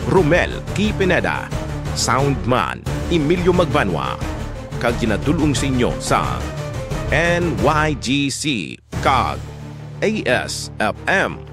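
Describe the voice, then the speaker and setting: A man's voice: medium pitch, wildly swooping pitch, slow pace, over music. A man announcing a radio drama in a dramatic, theatrical introduction style.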